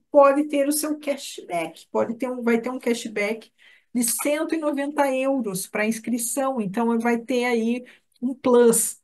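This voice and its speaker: A woman's voice: a little high, darkish tone. A woman with a Brazilian Portuguese accent, talking informally as a course instructor in a live online webinar, chatting directly with attendees. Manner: chatty, addresses listeners by name.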